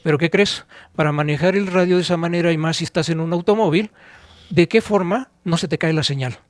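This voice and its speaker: Higher-voiced Mexican man, dry close sound. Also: no echo. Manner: didactic, with pauses and rhetorical questions. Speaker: podcast co-host and audio expert. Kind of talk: informal explanatory podcast talk.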